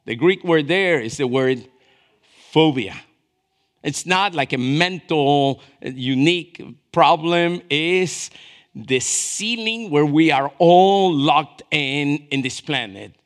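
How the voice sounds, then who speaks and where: A man in his fifties preaching a conversational sermon as a pastor, animated, swinging between hushed asides and raised emphasis and checking in with his listeners. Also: on the high side, slow pace. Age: 50s. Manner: checks in with listeners through short questions.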